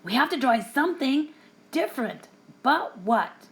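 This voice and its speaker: A woman voicing three small, stubborn wolf cubs in a storybook, with high, loud chanted replies. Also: very animated, a little slow, heavily acted. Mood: pleading.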